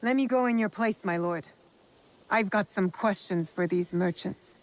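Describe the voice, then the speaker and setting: An actress playing a cool, resolute young female shinobi in a video game cutscene, speaking in a measured, probing way with dry wit. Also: medium pitch, lightly acted, rough recording. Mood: firm, guarded. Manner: measured, probing, dryly teasing.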